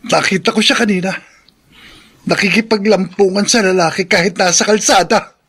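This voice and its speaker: A man's voice: sits high, steady tone, normal speed, fairly bright tone, slightly rough, heavily acted. A Filipino man playing a hurt, resentful younger brother in a radio drama, blunt and emotional. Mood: indignant. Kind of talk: Tagalog radio drama acting.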